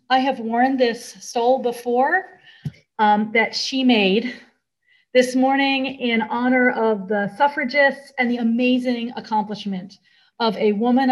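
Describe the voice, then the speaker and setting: A woman with an American accent giving a sermon to a congregation in measured, deliberate phrases with pauses. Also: fairly high pitch, poor audio.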